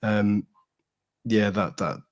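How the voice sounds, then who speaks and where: Male fan pundit, lowish voice, casual and opinionated, leans hard on repeated phrases. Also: fairly even intonation, takes it slowly. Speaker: football podcast guest, fan pundit. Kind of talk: casual opinionated football chat.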